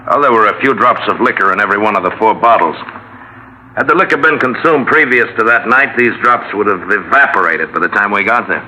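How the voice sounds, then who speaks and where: An actor playing a homicide lieutenant in an old-time radio drama, giving testimony in a brisk, official, matter-of-fact way. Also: male voice, on the low side, animated, pushed and bright, a little hiss or hum. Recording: dull vintage radio recording.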